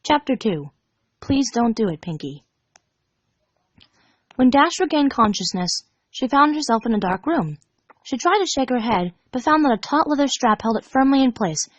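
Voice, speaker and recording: High-voiced woman reading a story expressively. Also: normal speed, no echo, dull audio. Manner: animated, dramatic reading. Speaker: fan fiction reader. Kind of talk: expressive storybook narration of fan fiction.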